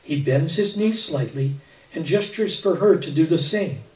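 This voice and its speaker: An older man reading a literary short story aloud at a live event, with measured, expressive narration. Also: on the high side. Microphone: stand mic with speakers, recorded by far camera. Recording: echoey room, distant camera pickup.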